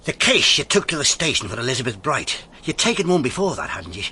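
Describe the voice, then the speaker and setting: A brooding police inspector in a radio drama. His pitch swings widely as he muses, dry and sardonic, with a philosophical streak. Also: sing-song, fast, bright, forceful tone, slight background noise. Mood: dry, probing. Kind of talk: BBC radio drama acting.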